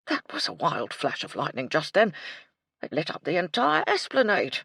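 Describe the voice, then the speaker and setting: A woman voicing a nervous, fussy man with a thin, soft voice, speaking in an anxious, prim way. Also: low-pitched, wildly swooping pitch, moderate pace, creaky, breathy edge, no echo, clean studio sound, exaggerated voice. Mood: fretful.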